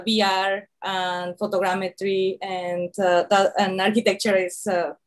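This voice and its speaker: A woman from Mexico introduces herself to an online workshop over a video call. She talks in a relaxed way, with many small fillers. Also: medium pitch. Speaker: workshop participant. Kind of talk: self-introduction.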